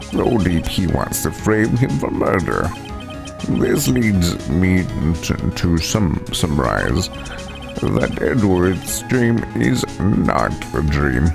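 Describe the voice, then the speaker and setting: A man's voice: low-pitched, sing-song, slow pace, gravelly, full character voice. A man playing a ponderous, avuncular older lawyer in a video game drama, with a stammering, deliberating delivery. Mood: ponderous, concerned. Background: background music.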